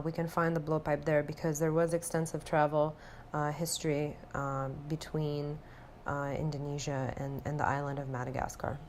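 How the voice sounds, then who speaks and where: A young woman from Romania, an anthropology student, reading a class presentation in an earnest, measured way with frequent filler sounds. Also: on the low side.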